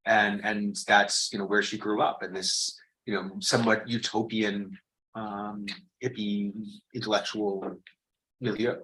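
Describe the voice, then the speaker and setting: A man leading a lecture discussion, speaking in a relaxed way with many short acknowledgments. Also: lowish voice, measured pace, rough recording. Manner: relaxed and friendly, gives short backchannels. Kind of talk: academic discussion. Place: lecture room.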